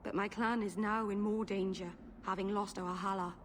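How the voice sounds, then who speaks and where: A woman's voice: medium pitch, steady tone, normal speed, a little hiss or hum, lightly acted. A woman playing a young elven mage in a fantasy video game, nervous and earnest, rambling and correcting herself apologetically. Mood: solemn, earnest. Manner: rambling, hesitant, self-correcting.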